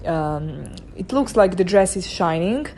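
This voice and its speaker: A woman's voice: fairly low pitch, expressive, a little slow, a little mellow, a little hiss or hum. A young woman with a Serbian accent, a photographer narrating her shoot in a chatty way and laughing often. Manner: chatty, laughs often, non-native phrasing. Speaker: photographer vlogger.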